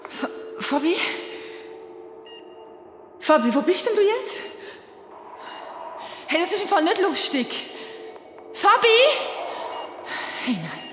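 A woman's voice: very high voice, sing-song, takes it slowly, pauses often, a little hiss or hum, exaggerated voice. An actress playing a frightened woman in labour in a radio drama, gasping, moaning and breaking off her words. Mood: frightened, pained. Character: frightened woman in painful labour, anxious and swearing. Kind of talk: naturalistic radio drama acting. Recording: roomy sound with loud music behind.

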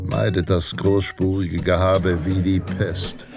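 Radio-play Western narrator: deepish, brooding, contemptuous, dramatic delivery over prominent guitar. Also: male voice, flat delivery, slow, gravelly, heavily acted. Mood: scornful. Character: brooding, scornful Western narrator of an aging gunslinger's fantasy. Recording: voice over loud guitar music.

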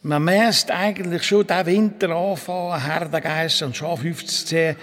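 Actor as a smooth-talking monk, reverberant hall. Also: male voice, a little high, steady tone, normal speed, fairly bright tone, natural voice. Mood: persuasive. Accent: Swiss German. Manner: persuasive, grandiose, emphatic. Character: crafty, flattering well-travelled pilgrim monk. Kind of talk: audio drama acting.

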